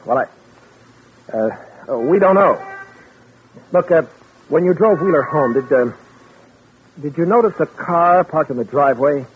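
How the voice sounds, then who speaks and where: A man's voice: a little high, animated, a little slow, long pauses. An actor playing a cautious, scheming man who passes as a concerned friend, placating and hesitant, in 1940s radio drama style. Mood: guarded, hesitant. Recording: tinny, noisy vintage radio recording.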